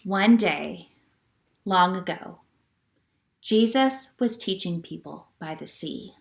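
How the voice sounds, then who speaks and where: A woman leading a children's church storytime at home, talking gently to young listeners with questions and reading aloud. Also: fairly low pitch, animated, takes it slowly, creaky, roomy sound. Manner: gentle teacher talk, asking children questions.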